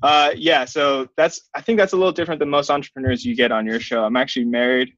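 A man in his thirties gives conversational interview answers in a measured, hedging manner. His voice sits at an average male pitch. The sound is dry and slightly dull, as on a video call. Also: steady tone, a bit bright, no room sound. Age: 30s. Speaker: software company founder, interview guest. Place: small plain indoor room. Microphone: webcam video call, wearing headphones.

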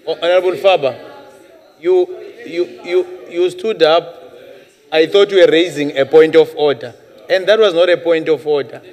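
A middle-aged man presiding over a parliamentary session, giving rulings in a halting, repetitive way. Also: a little high, a little mellow. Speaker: presiding chairperson. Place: large parliament chamber, indoors. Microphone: desk microphone at presiding chair. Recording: reverberant parliament chamber.

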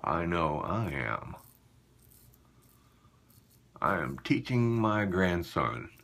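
A man in his fifties chatting casually and haltingly to viewers in a small bathroom while busy with a task. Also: low-pitched, big pitch swings, vocal fry, fairly bright tone. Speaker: shaving vlogger.

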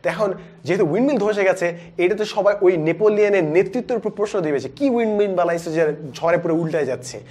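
A man in his twenties with a Bangladeshi accent, presenting a book review in an animated, explanatory way with English words mixed in. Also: high voice, quick pace. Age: 20s. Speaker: book reviewer, educational video host. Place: indoor studio with plain backdrop. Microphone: lapel mic.

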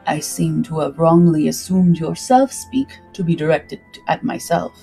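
A woman voicing a solemn, intense male benefactor in a slow, formal manner for a pirate story. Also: low-pitched, lively intonation, gravelly, mellow, breathy edge, pitched below the performer's own voice, heavily acted. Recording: music behind the voice.

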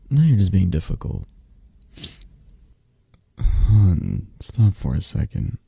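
Very deep, mellow, tender dragon boyfriend played by a man. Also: wildly swooping pitch, gravelly, husky, dry recording, heavily acted. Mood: gentle, reassuring. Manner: soft, teasing, reassuring, hesitant pauses. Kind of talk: intimate ASMR roleplay. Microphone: close, dry microphone.

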